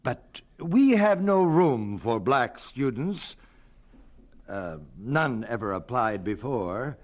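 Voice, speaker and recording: An actor playing a stern, dismissive university official in an audio drama, formal, halting and condescending. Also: male voice, mid-range voice, sing-song. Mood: cold, awkward.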